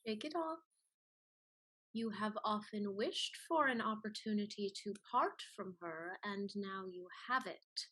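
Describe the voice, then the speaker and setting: An actress playing a cool, calculating mistress in a Restoration high-society comedy, speaking crisply and persuasively. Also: female voice, measured pace, sharp tone. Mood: firm, urging. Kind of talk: theatrical Restoration comedy acting.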